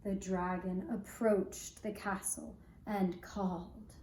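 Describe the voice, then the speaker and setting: A woman narrating a children's fairy tale as a puppet show storyteller, deliberately, with long pauses between phrases. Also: mid-range voice, little pitch movement, a little mellow, slight background noise. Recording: roomy, echoey sound.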